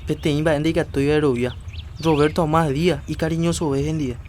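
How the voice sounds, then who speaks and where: An actor playing a reassuring rural husband in a radio drama. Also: male voice, fairly high pitch, fairly even intonation, a little fast, darkish tone, slight background noise, lightly acted. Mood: calm. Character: caring husband Rafa, adult man.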